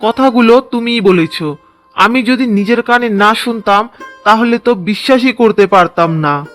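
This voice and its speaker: A man's voice: sits high, measured pace, fairly bright tone, faint music behind. A man playing an earnest, reproachful young village son in a cartoon drama. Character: indignant, dutiful young married village son. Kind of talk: Bengali cartoon drama dialogue.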